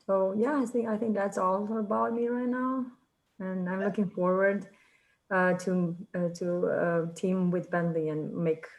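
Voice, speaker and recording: A woman from Romania with a Romanian accent, introducing herself informally in a meeting and haltingly searching for words. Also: mid-range voice, fairly even intonation, a little mellow, low-quality recording. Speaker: high school ESL teacher and assistant chair.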